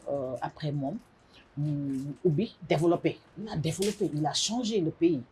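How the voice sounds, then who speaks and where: A middle-aged Senegalese woman with a Senegalese accent and a low, dark, mellow voice, with faint noise behind it. She answers interview questions in a measured, thoughtful way and often pauses to hesitate. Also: rough recording. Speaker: interview guest. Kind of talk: casual interview talk mixing French and Wolof.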